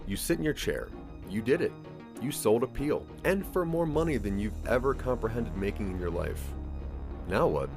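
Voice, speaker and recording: A man's voice: medium pitch, lively intonation, normal speed. A man narrating a scripted audio drama story, addressing the listener as its main character. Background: music.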